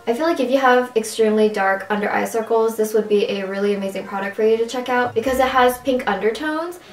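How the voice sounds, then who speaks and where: A young woman with a raspy, strained voice chatting casually through a makeup tutorial at home. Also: on the high side, quick pace, reverberant, faint music behind. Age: young adult. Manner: chatty, upbeat, casual with fillers. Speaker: beauty vlogger. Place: small room at home, indoors.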